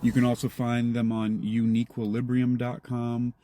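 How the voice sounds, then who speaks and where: A man's voice: completely flat delivery, moderate pace, mellow, no echo. A man speaking casually as an interview guest about his own creative work. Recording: noisy vehicle-like background rumble.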